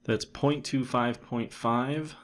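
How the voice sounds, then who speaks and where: A man in his thirties giving a casual, matter-of-fact software tutorial, thinking aloud as he goes. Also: medium pitch, fairly even intonation, takes it slowly. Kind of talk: casual screen-recorded tutorial narration.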